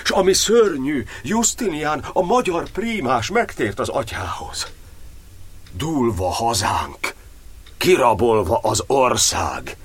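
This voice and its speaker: A man's voice: medium pitch, big pitch swings, husky. An actor playing a fervent, grieving churchman, declaiming an impassioned poetic monologue in a radio drama. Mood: mournful. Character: fervent, grieving churchman preaching faith amid war.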